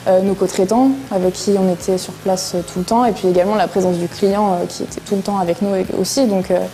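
A woman's voice: mid-range voice, steady tone, quick pace, poor audio. A young woman in her twenties, a newly hired engineer, speaking hesitantly with many fillers in a documentary interview in a site office. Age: 20s. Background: soft background music.

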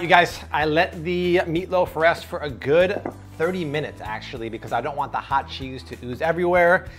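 Upbeat man hosting a home cooking demo. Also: mid-range voice. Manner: energetic, jokey, chatty to the crew. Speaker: cooking show host. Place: home kitchen, indoors.

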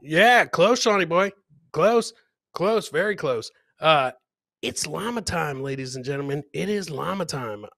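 A middle-aged man with a bright, forceful voice sitting a little high. He hosts a comedy live stream, bantering casually with his viewers. The sound is close and dry, from a studio mic. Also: lively intonation, a little slow, gravelly, no echo. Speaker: comedy podcast host. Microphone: close studio mic on a boom.

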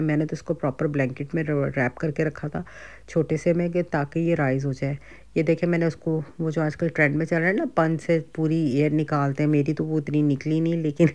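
Chatty Pakistani woman, mellow flat voice. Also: low voice. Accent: Pakistani Urdu. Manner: chatty, conversational, frequent fillers and asides. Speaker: home cooking vlogger. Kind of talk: casual cooking and grocery vlog.

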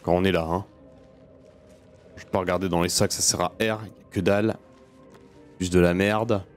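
Male gamer, fairly low voice, informal sweary self-talk, faint game music underneath. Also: fairly even intonation, normal speed. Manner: informal, sweary, muttering to himself. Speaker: gaming video host. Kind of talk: casual let's play commentary. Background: video game music.

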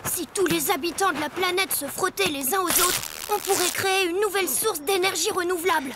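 A woman's voice: very high voice, a little fast, background noise. A woman voicing an earnest, bookish little monster boy in a cartoon, with precise delivery. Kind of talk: French cartoon acting.

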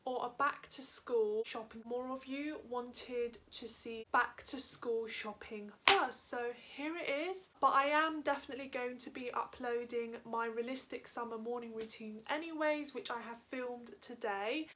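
A woman's voice: a little high, fairly bright tone, a little hiss or hum. A young woman in her late teens, a lifestyle vlogger, chatting upbeat to a camera in her bedroom about what she bought. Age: young adult. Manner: chatty, upbeat, quick asides. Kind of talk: casual haul vlog talk to camera. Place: bedroom, indoors. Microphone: camera on a stand in front of her.

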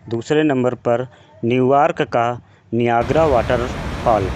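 A man narrating a countdown video as a voice-over, in slow, measured scripted narration. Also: medium pitch, darkish tone. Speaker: channel narrator.

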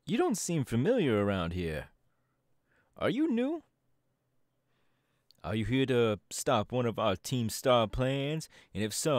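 A cocky young DJ gang boss, voiced by a man, bragging straight at the listener in an audio roleplay. His voice bounces all over the place in pitch, and the recording is dry and close with no room sound. He talks with a relaxed, swaggering confidence. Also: no echo. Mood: teasing. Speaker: Team Star leader in a roleplay.

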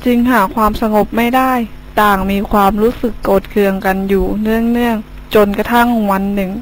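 A woman's voice: medium pitch, steady tone, normal speed, slight background noise, poor audio. A woman storyteller reading scripted narration for a children's story.

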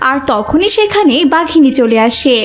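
High-pitched woman narrating a story. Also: animated, moderate pace, faint music behind. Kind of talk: scripted cartoon story narration.

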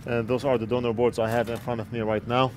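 A man's voice: mid-range voice, flat delivery, fast, noisy background. Man explaining a repair at his bench. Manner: plain, explanatory, talks while working. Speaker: repair technician. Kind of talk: step-by-step repair tutorial. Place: electronics repair workbench indoors. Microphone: wired earpiece headset mic.

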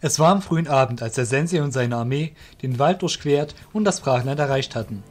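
A man's voice: medium pitch, brisk, a little mellow, soft background music. Man narrating a fantasy audio drama. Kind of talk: scripted fantasy story narration.

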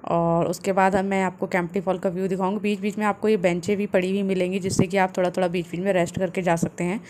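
A woman, calmly narrating a family travel vlog as a voice-over. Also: lowish voice, fast. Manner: calm, explanatory, steady. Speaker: travel vlogger.

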